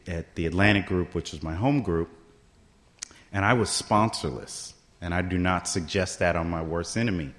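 A middle-aged man telling his own story at a recovery meeting, conversational and often checking in with the listeners. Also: sits low. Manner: conversational, keeps asking "right?" to the room. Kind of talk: personal story told to a meeting.

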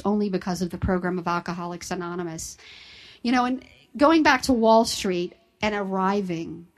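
A woman's voice: medium pitch, poor audio. Lady, 50s, telling her recovery story to a crowd, blunt and self-mocking. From Southern United States. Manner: candid, self-deprecating, direct. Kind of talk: personal testimony to an audience.

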